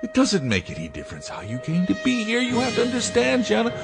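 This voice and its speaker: A man's voice: sits high, wildly swooping pitch, fast, creaky, full character voice. An actor playing a middle-aged inventor who built his robot daughter, in a radio drama with music beneath. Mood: tender. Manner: earnest, pleading. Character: middle-aged male inventor father, pleading. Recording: with music underneath.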